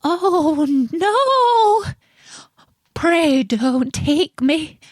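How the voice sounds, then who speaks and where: A woman voicing the youngest billy goat, small and timid, with a small, high, pleading voice. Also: big pitch swings, very slow, no echo, studio quality, exaggerated voice. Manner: pleading, squeaky.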